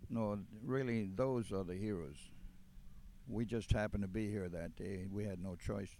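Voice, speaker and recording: A man in his eighties from Hawaii, a veteran answering interview questions in a plainspoken, halting way. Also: lowish voice, mellow, a little hiss or hum. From Hawaii, United States. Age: 80s. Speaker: war veteran, memorial volunteer.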